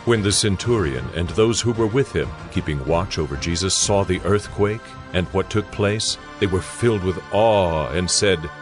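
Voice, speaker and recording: A man narrating dramatized scripture in a measured, solemn storytelling manner. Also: deep voice. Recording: music behind the voice. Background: music.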